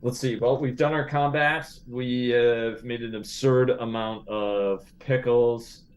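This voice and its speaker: A man's voice: medium pitch, steady tone, slow. A middle-aged man playing a tabletop role-playing game, chatting casually and thinking through ideas in a playful way.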